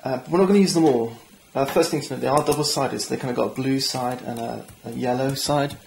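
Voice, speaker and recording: A man's voice: medium pitch, fast talker, gravelly, darkish tone, slight background noise. A man hosting a board game channel, walking viewers through setup and rules in a chatty, enthusiastic way with self-deprecating asides. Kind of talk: tabletop game rules walkthrough.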